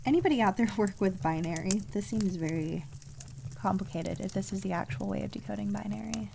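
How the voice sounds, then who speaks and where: A woman's voice: mid-range voice, fairly quick, gravelly, mellow, a little hiss or hum. A woman giving casual gameplay commentary, muttering and puzzling things out to herself. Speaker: game streamer.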